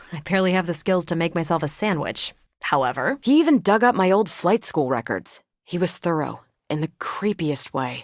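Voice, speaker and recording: A female video game superhero with a fairly low voice and a confident, combative streak. She talks in a wry, breezy, assured way. The recording is dry and close, with no room sound. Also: no room sound. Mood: casual, wry.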